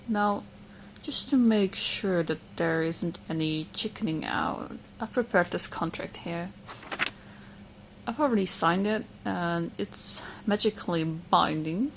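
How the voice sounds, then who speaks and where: Woman acting a smug lovesick witch, lowish voice. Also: a little hiss or hum, poor audio. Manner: coy, smug, chatty, hesitant asides. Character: obsessive, smug young female witch in love. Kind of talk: roleplay audio drama, addressing the listener.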